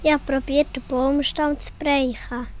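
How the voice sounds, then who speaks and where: A woman's voice: high-pitched, level tone, a little slow. A woman with a Swiss German accent playing a shy, friendly young fox boy in a children's audio play. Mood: shy, glad.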